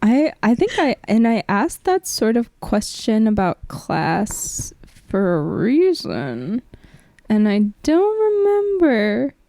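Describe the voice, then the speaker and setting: A woman speaks with noticeable vocal fry and a soft, mellow tone at a middling pitch. She is a podcast panelist laying out ideas in a measured, reflective, academic manner, recorded dry with no room sound. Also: wildly swooping pitch, no echo. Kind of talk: thoughtful academic discussion.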